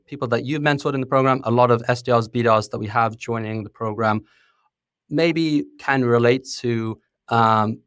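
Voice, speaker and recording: Friendly male podcast host, mid pitch, dry sound, quick to laugh. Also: a bit bright, no echo. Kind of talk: casual interview questions.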